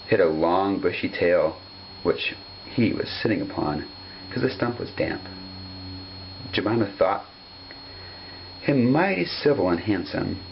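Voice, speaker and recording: A man's voice: sits low, animated, measured pace, creaky, long pauses. A man narrating a children's story from the page, on a dull home recording with some hum. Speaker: storybook reader.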